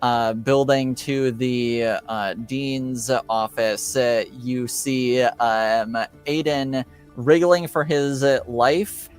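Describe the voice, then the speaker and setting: A man running a tabletop roleplaying game as game master, narrating and making rulings in a halting, hesitant way. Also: medium pitch, steady tone, smooth, soft background music. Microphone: home microphone on an online call.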